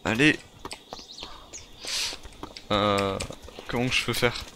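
A man's voice: fairly low pitch, extremely slow, bright, forceful tone. A man improvising first-person roleplay commentary on a game, muttering to himself in short, repeated phrases. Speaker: gaming video creator playing a survivor. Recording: very noisy home recording, game sounds behind.

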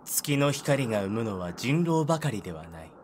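A man's voice: fairly low pitch, very animated, normal speed, a little hiss or hum. A man reading scripted narration for an audio drama.

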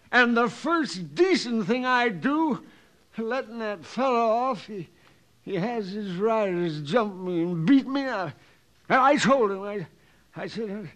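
Casting: aggrieved, boozy old-West judge, high-pitched, dragging and stumbling speech, distraught, radio drama. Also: male voice, fairly bright tone, full character voice. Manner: halting, rambling, repeats himself. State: acting drunk and distraught. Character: drunken, broken, aggrieved frontier judge. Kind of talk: 1950s radio western acting.

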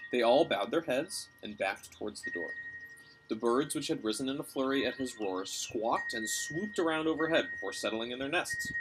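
A man in his thirties reading a story aloud on a porch, recorded by a camera a little way off. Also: medium pitch. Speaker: reader of a children's novel. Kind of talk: read-aloud storytelling with brief asides. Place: outdoors on a covered porch. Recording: clear outdoor ambient noise.

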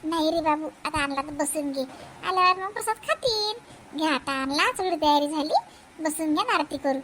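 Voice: sits high, expressive, moderate pace, bright, forceful tone, a little hiss or hum. A performer voicing a chatty village grandmother in a comedy cartoon, with exclamatory rustic Vidarbha Marathi chatter. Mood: insistent.

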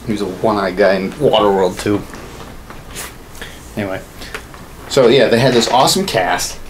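Casual male podcast co-host, 30s, low voice, rambling and sweary, clear room noise behind. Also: normal speed, rough recording. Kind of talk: casual opinionated chat about films and games. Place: small home studio room, indoors.

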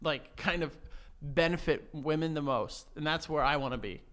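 A man in his thirties co-hosting a casual, comedic podcast with playful, animated banter, close on a studio mic. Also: a little high, normal speed. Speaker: podcast co-host. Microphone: close desk-mounted studio microphone.

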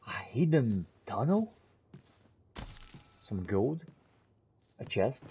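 A man's voice: mid-range voice, big pitch swings, drawn-out words, dark tone, a little hiss or hum. A man doing in-character gameplay commentary, exclaiming and talking to himself and his game companions. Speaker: gamer playing in character.

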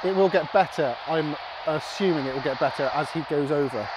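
Man, forties, high-set voice, casual vlog chat, outdoor camera audio, noticeable traffic noise. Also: a little fast. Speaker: amateur radio vlogger. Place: outdoors on an open hilltop beside a road. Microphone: camera mic at about arm's length or more. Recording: noisy outdoor recording with passing traffic.

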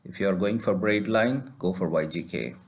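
A man hosting his fishing channel, chatting casually and giving plain recommendations with filler pauses, recorded outdoors on a beach. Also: sits low, husky. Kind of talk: casual vlog narration.

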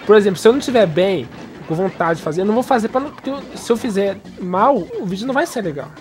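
A man's voice: high voice, expressive, fast talker, darkish tone. A man hosting a gaming channel, chatting casually over gameplay in an emphatic, slangy way and repeating his exclamations. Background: video game music.

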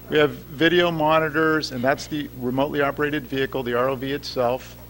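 A man giving a public science lecture, laying things out clearly and asking the audience questions. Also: mid-range voice, noisy background. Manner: clear, explanatory, addresses the audience directly. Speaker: guest lecturer. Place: lecture hall.

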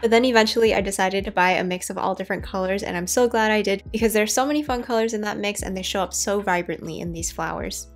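A woman's voice: mid-range voice, fast, few pauses. A woman narrating a casual garden tour in a chatty, informal way. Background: background music.

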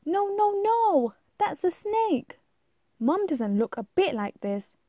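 A woman's voice: very high voice, sing-song, dark tone, no room sound, clean studio sound, voice pushed up, heavily acted. A woman voicing a fretful, insistent young monkey in a rhyming storybook, plaintive and emphatic. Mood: protesting. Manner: plaintive, emphatic, drawn-out protests. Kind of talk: rhyming storybook read-aloud.

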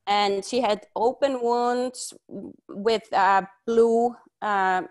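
A woman's voice: a little high, fairly even intonation, takes it slowly. A middle-aged woman from Iceland, co-hosting a video call interview, talks earnestly and passionately with many fillers and restarts. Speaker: co-host. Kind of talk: casual video call talk. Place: home study with bookshelves and windows. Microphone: wired earbuds on video call.

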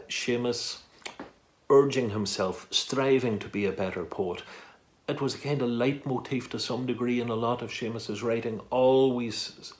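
Low-pitched man in his sixties. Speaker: composer introducing own work. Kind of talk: informal spoken introduction to camera. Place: small room at home.

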